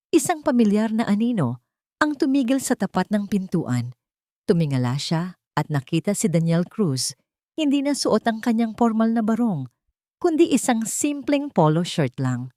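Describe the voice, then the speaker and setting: A woman narrates a scripted romantic drama in a sentimental, measured storytelling manner. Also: medium pitch, dark tone, no echo, clean studio sound.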